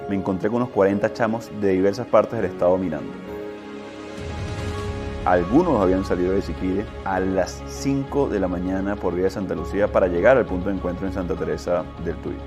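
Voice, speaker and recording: Male reporter, very low voice, measured report narration, light music bed. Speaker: field reporter.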